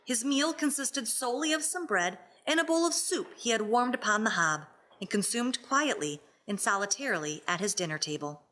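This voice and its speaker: A woman's voice: fairly high pitch, lively intonation, moderate pace, fairly bright tone. A woman reading scripted story narration as a radio play narrator.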